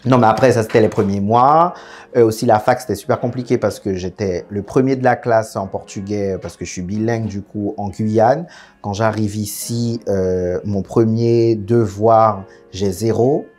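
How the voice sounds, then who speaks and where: Young man, from French Guiana, low husky voice, mellow tone, frank relaxed storytelling, faint music under it. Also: moderate pace. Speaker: guest. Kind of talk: candid casual interview chat.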